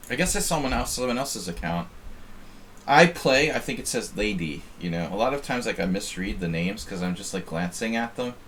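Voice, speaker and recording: A man's voice: lowish voice, lively intonation, brisk, fairly bright tone, a little hiss or hum. An American man casually chatting while streaming online chess, rambling and self-deprecating as he reads the chat. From United States.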